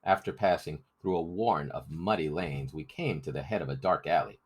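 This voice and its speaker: A man's voice: a bass voice, brisk, husky. A man narrating a story as a scripted audiobook reading.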